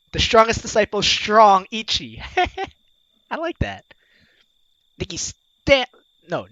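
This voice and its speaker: A woman's voice: mid-range voice, a bit bright, no room sound, rough recording. A woman hosting a game playthrough, reading the narration aloud expressively with playful, giggly commentary. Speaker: gaming video host, player reading aloud.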